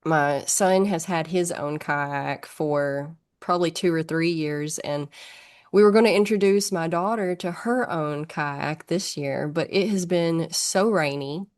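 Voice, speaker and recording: A woman from northern Alabama speaks with a thick Southern accent, chatting as a guest on a podcast. She tells her stories quickly and easily, and her voice sits notably low. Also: darkish tone. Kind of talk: casual conversation.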